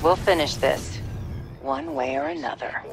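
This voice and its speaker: A woman's voice: mid-range voice, sing-song, a little slow, creaky, heavy background noise, heavily acted. An actress playing a tough, cool-headed woman soldier in a video game, curt, controlled and grimly determined. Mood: grim defiance. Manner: curt, controlled, hard-edged. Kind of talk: dramatic video game cutscene acting.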